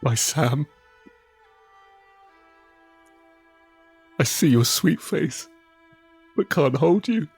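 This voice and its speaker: An actor playing a guilt-ridden survivor in an audio drama, speaking in halting, intense first person. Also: male voice, fairly high pitch, lively intonation, a little mellow, husky, soft background music, exaggerated voice. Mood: anguished, longing. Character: grief-stricken guilt-ridden male survivor, narrating his ordeal.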